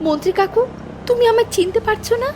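A woman's voice: very high-pitched, quick pace, noisy background. A woman voicing a young, earnest, plaintive princess in a fairy tale cartoon. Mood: distressed, pleading. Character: young princess turned queen, grieving, naive, earnest.

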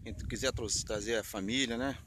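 A man's voice: mid-range voice, fairly even intonation, moderate pace. Brazilian man vlogging amid outdoor noise. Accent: Brazilian Portuguese. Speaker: cycling vlogger. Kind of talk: casual vlog commentary. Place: outdoors on rural mountain roads. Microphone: handheld camera at arm's length. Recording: clear outdoor noise, phone or camera mic.

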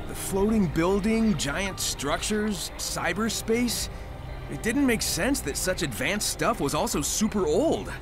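An upbeat game hedgehog: high, lively, breezy, over a music bed. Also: male voice, slow. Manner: casual, breezy slang. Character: cocky fast blue hedgehog hero, upbeat. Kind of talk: video game cutscene dialogue. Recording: game audio with music underneath.

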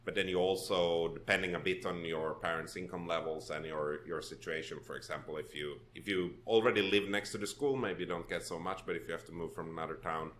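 Man, very deep voice, relaxed storytelling, podcast guest. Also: brisk, few pauses, slight background noise. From Finland. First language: Swedish. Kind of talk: casual interview.

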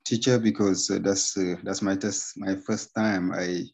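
A man from Senegal with a Senegalese accent, giving thanks as a student in a halting, repetitive way. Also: low-pitched, level tone, creaky, darkish tone, husky. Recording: dull lo-fi video call.

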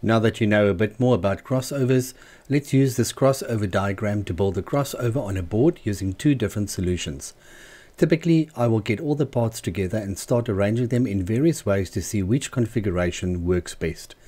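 Male tutorial narrator, lower-pitched and very mellow, measured and explanatory delivery for scripted instruction. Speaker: host of a DIY loudspeaker channel.

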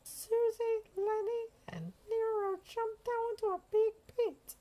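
A woman reading a children's picture book aloud in an animated, expressive way for young listeners. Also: very high voice, slow. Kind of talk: animated storybook read-aloud.

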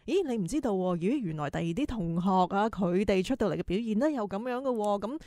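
A woman's voice: mid-range voice, animated, fast, mellow, dry recording. Female radio host, Hong Kong Cantonese accent, chatty delivery, dry close recording.

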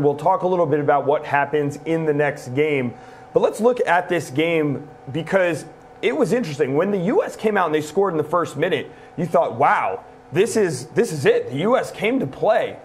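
A man's voice: fairly high pitch, expressive, normal speed, a little hiss or hum. A man in his thirties hosting a soccer analysis show from a studio desk, wearing a lapel mic and recapping the match. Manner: leads the discussion, recaps stats. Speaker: show host.

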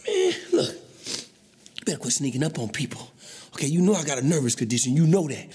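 A man's voice: a little high, wildly swooping pitch, darkish tone, a little hiss or hum. A man doing a panicky teenage boy. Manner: fast, panicky, rambling slang.

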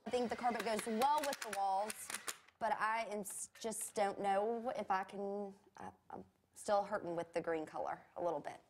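A woman's voice: medium pitch, moderate pace, noisy background. A woman homeowner giving candid, somewhat hesitant reactions to her renovated room. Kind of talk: casual reactions on camera.

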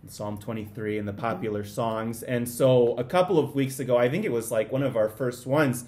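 A man in his thirties explaining things to families in a relaxed, conversational way, recorded at home on a camera at desk distance. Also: medium pitch, normal speed. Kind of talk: casual explanatory chat. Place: small home room.

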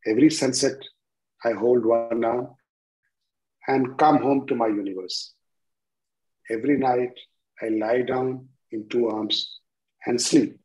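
A man in his sixties with an Indian accent introducing and reciting his own poems deliberately, in short phrases with pauses. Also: medium pitch, darkish tone, rough recording. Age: 60s. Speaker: poet reading own work. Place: indoors at home on a video call. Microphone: video-call device microphone.

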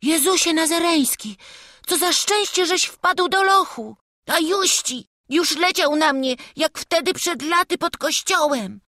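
A woman voicing an excitable young boy in an audiobook, scared but defiant, speaking in urgent exclamations. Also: extremely high pitch, a little slow, no room sound, studio quality, pitched above the performer's own voice, heavily acted. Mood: agitated. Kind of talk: audiobook storytelling.

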